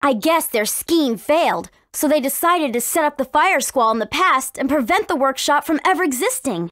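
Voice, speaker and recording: Spirited american teen girl princess from a video game, high lively voice, super dry studio sound. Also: moderate pace, no room sound. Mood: earnest, explaining. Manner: expressive, emphatic delivery. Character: spirited, earnest, cautious teenage warrior princess. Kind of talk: English video game voice acting.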